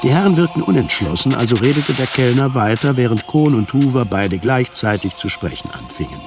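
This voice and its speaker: A man reading scripted narration for a radio drama, measured and articulate. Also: medium pitch, big pitch swings, noisy background.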